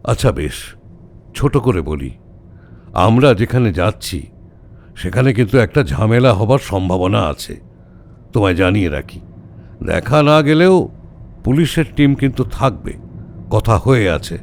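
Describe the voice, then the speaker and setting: A man's voice: lowish voice, big pitch swings, unhurried, vocal fry, long pauses, darkish tone, heavily acted. An actor playing a brusque, sardonic older male detective who gives orders in a wry, clipped way in an audio drama. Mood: matter-of-fact. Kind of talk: audio story acting.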